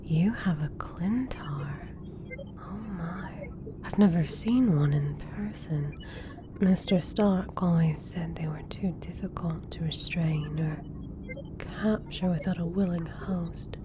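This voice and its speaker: Measured, lowish female scientist acted by a woman. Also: noisy background. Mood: astonished. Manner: measured, precise, formal. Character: brisk, exacting female scientist, easily irritated. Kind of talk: roleplay audio drama.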